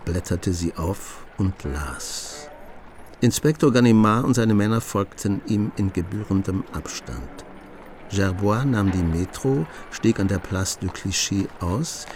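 Low-voiced male radio play narrator. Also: noisy background.

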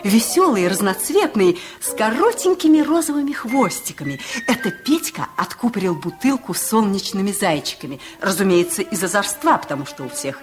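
A woman's voice: mid-range voice, big pitch swings, moderate pace, few pauses, close to an everyday voice. A woman narrating a children's fairy tale as a storyteller in a radio play. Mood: storytelling. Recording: music playing under the voice.

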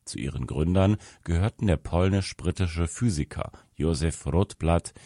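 A man reading a scripted article aloud as narrator, in a measured, formal manner. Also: low-pitched, dark tone, no room sound, studio quality.

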